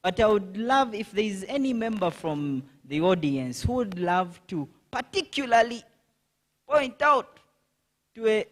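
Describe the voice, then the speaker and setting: A man's voice: sits high, big pitch swings, unhurried. A man moderating a conference panel, talking chattily with many fillers and repeated okays. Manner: chatty, with frequent fillers and "okay". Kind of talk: event moderation. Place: conference hall.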